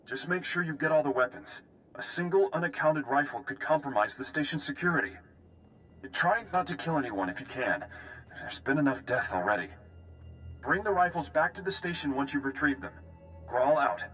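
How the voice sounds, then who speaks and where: An actor playing a gruff, duty-bound prison station officer in a video game, giving brisk military briefings. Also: male voice, a little high, expressive, fairly bright tone, soft background music, rough recording. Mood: brisk, businesslike.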